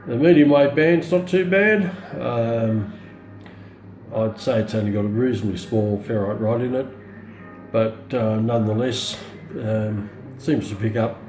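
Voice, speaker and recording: Middle-aged Australian male, hobbyist reviewer, soft-edged warm tone, halting off-the-cuff delivery, echoey room. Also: medium pitch, faint music behind. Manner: hesitant, unscripted, pausing often. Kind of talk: casual product demonstration. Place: indoors on a hard countertop, small room. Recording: roomy echo, faint background noise.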